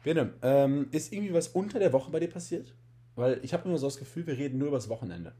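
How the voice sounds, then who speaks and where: A man's voice: on the high side, lively intonation, quick pace, dark tone. A young man in his twenties with a slight cold, hosting a podcast in a joking, rambling way and often repeating words. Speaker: podcast host. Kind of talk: casual chat.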